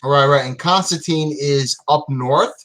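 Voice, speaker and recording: A man chatting casually as a comic reviewer, explaining things in a tentative, halting way. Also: on the high side, fairly bright tone.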